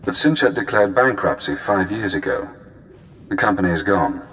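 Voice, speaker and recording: An actor playing a cool, cunning spy handler in a video game, speaking in measured, cerebral, clipped phrases. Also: male voice, sits low, animated, a bit bright, slight background noise, poor audio. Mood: fascinated, calm.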